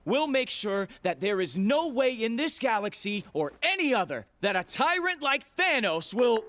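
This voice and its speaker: An actor playing an earnest, wisecracking hero rallying allies in a video game, informal and animated. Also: male voice, very high-pitched, normal speed, heavily acted. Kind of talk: video game cutscene acting.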